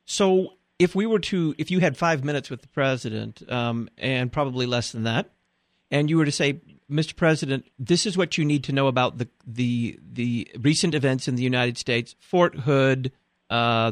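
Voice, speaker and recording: A man's voice: medium pitch, no echo. Interviewer, a mid-voiced man on a dry mic, asking questions and restarting as he goes.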